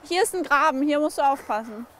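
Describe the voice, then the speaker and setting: A woman in her twenties, a physiotherapist helping on her family's farm, talking quickly and lively outdoors in a documentary. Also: high-pitched, slight background noise. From Germany. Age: 20s. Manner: lively, chatty, explains things quickly. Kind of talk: documentary interview and walk-and-talk. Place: outdoors on a farm, in a barnyard and pasture.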